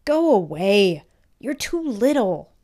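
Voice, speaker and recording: A woman's voice: medium pitch, sing-song, slow pace, a little mellow. A woman voicing an earnest, emphatic young schoolboy in a children's storybook. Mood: annoyed. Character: young schoolboy, big brother, expressive and earnest. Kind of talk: children's storybook read-aloud.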